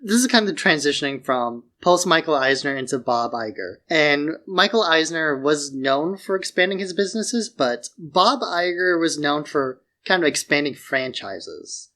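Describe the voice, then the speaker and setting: Higher-voiced man chatting on a podcast. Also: animated, normal speed, hardly any pauses, bright, forceful tone. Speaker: podcast co-host. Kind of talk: casual chat, opinionated commentary.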